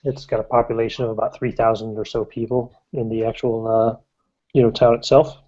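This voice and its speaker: A man acting as game master, explaining rules in a relaxed, casual way. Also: mid-range voice, fairly quick, highs cut off. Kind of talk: running a tabletop game.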